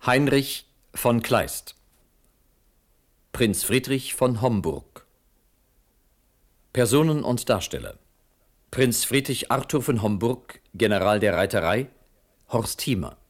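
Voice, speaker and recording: Slow, formal male radio announcer. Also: mid-range voice, expressive. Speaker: announcer of title and cast.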